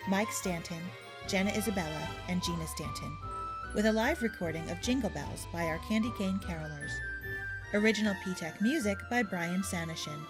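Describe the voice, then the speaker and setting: A woman reading scripted narration as announcer in a measured, formal way. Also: mid-range voice.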